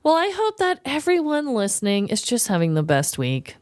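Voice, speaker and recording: A woman with a fairly high, very animated voice talks as a podcast co-host in a close, dry recording. Her delivery is casual and comedic, full of slangy emphasis. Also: moderate pace, dry recording.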